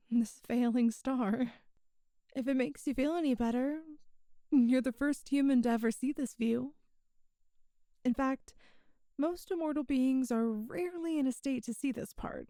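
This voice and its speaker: Ancient female demon, wise and regretful, played by a woman: intimate ASMR delivery, unhurried, with a gravelly creak. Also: fairly high pitch, no room sound, studio quality. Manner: soft, hesitant, intimate, sighing. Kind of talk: ASMR audio roleplay.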